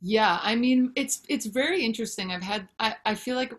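A woman's voice: fairly high pitch, moderate pace. A woman in her thirties, a singer-songwriter and author, talking candidly and animatedly over a video call from home. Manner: candid, animated, gesturing with hands. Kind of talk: casual interview chat. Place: home room with brick wall.

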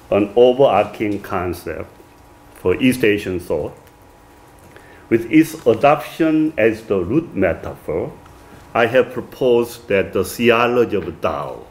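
Middle-aged man, Korean accent, academic lecture, halting with fillers, lowish voice. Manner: many "uh" fillers, halting phrasing. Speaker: visiting lecturer. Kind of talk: academic lecture read from a manuscript. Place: wood-paneled reception room, indoors.

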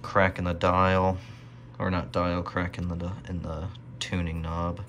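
American guy with a low voice, casual hobby video, thinking out loud in a small workshop, bit of background noise. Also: monotone, unhurried. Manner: musing, thinking aloud. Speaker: hobbyist radio restorer presenting a video. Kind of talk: casual unscripted workbench narration. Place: small indoor home workshop. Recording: faint room noise.

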